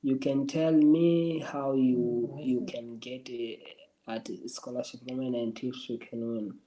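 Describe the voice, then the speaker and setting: A man's voice: medium pitch, steady tone, a little slow, warm tone, rough recording. A man from Somalia, hosting a casual livestream and giving encouraging advice to viewers, often repeating and restarting his phrases. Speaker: livestream host answering viewers.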